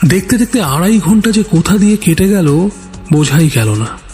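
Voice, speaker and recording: A male storyteller with a slightly high voice delivers dramatic scripted narration. His delivery is theatrical and full of suspense, with music playing underneath. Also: very animated, normal speed, darkish tone. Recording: background music.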